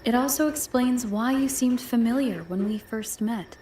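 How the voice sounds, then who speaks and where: A woman's voice: fairly high pitch, normal speed, dark tone, close to an everyday voice. An actress playing a perceptive young woman in a video game. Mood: knowing, guarded. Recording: echoey, clear background ambient noise.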